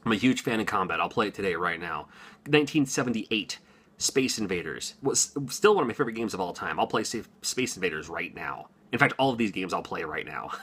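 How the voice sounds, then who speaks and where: A man's voice: sits low, animated, brisk, fairly bright tone, breathy edge. A man in his forties rambling casually to his viewers, chatty with lots of ums and little chuckles. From Washington, USA. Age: 40s. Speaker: gaming YouTuber. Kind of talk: casual unscripted monologue to camera.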